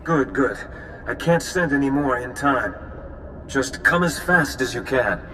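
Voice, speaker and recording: An actor playing a worried, businesslike military ally in a video game, brisk and concerned. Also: male voice, mid-range voice, lively intonation, pushed and bright, a little hiss or hum, rough recording. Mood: urgent. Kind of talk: video game cutscene acting.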